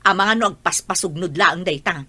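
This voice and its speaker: A woman's voice: on the low side, a little fast, sharp tone, husky. A woman playing a strict, stubborn working mother in a radio drama, curt and insistent.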